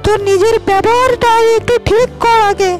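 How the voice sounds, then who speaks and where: A woman as a high-voiced scolding cartoon mother. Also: steady tone, normal speed, over music. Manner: chiding, blunt. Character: scolding middle-aged mother of a spoiled daughter. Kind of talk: cartoon moral-story acting.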